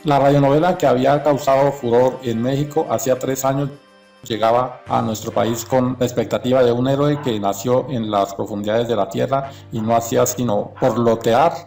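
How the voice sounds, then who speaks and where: A man's voice: medium pitch, fairly even intonation, normal speed, gravelly, a little mellow, soft background music. A man reading scripted documentary narration.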